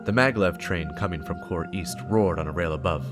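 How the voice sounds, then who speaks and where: A man's voice: low voice, a little fast. A man giving a dramatic scripted narration of a story. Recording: music behind the voice. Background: game soundtrack music.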